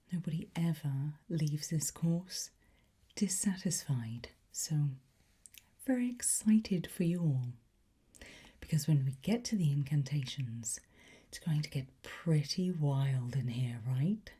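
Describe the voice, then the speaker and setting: A woman playing a playfully conspiratorial demonology teacher in an ASMR roleplay, whispering slowly and deliberately with a breathy, softly encouraging voice. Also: low-pitched, heavily acted.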